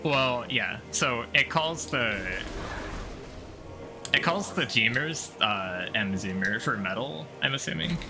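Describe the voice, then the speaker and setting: Male game streamer, unhurried and medium-pitched, nitpicky and sweary, rambling casually over prominent game music. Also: expressive, vocal fry, low-quality recording. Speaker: streamer playing a video game. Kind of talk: casual gameplay commentary. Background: video game music.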